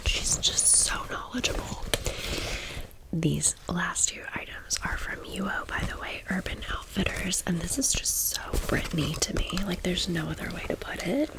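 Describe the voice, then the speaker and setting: A woman's voice: lowish voice, lively intonation, normal speed, low-quality recording. A woman in her thirties whispering a casual, chatty, gushing story in an ASMR style. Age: 30s. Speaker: ASMR vlogger.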